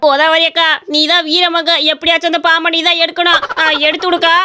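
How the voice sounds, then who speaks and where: A very high, bright little rascal of a village boy voiced by a woman, greedy and always bargaining. He talks in a rural Tamil Nadu accent, like a cartoon comedy character, over faint background noise. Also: flat delivery, fast talker. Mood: alarmed. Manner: wheedling, bargaining, colloquial village speech. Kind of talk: animated comedy story voice acting.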